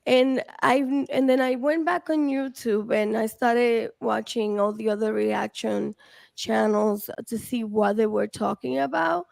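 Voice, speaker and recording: A woman from the Dominican Republic on a streamed panel, talking casually and haltingly with many fillers and restarts. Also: on the high side, vocal fry, darkish tone, no room sound. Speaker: research team member.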